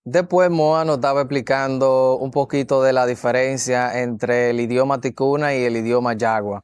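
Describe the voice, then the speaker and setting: A Dominican man with a Dominican accent narrating his travel vlog slowly and clearly for learners. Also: medium pitch, fairly even intonation, darkish tone. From Dominican Republic. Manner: clear, measured delivery for learners. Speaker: vlogger. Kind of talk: slow scripted narration.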